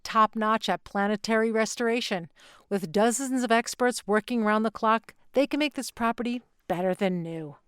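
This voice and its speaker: A woman's voice: on the high side, normal speed, fairly bright tone, smooth, dry recording, studio quality, natural voice. A woman playing an unflappable, businesslike alien real estate agent in an audio play, with a salesy delivery. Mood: smooth sales pitch.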